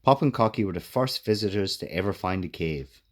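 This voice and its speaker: A man reading a children's storybook aloud as an expressive narrator. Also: deep voice, a little fast. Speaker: author reading own children's book.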